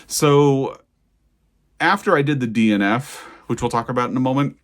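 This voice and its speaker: A man's voice: mid-range voice, moderate pace. An American man hosting a casual book vlog, talking in a conversational, digressive way as if thinking aloud. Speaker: book reviewer and channel host.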